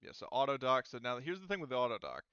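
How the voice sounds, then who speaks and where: A man's voice: mid-range voice, big pitch swings, fast, sharp tone, clean tone, no room sound, lo-fi sound. A man running a tabletop roleplaying game as game master, narrating in a casual, conversational way.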